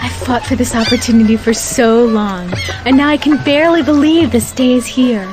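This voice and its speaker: Game cutscene role: young woman merchant sailor, played by an actress, earnest and eager, measured monologue, slightly high register, music playing behind. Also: lightly acted. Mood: excited, hopeful. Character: eager young woman, a trader setting sail alone.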